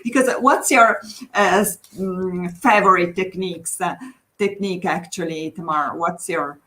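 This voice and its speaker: An Italian woman with an Italian accent hosting a casual livestream chat over a video call, laughing often and speaking in halting phrases. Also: fairly low pitch, sharp tone. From Italy. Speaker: host.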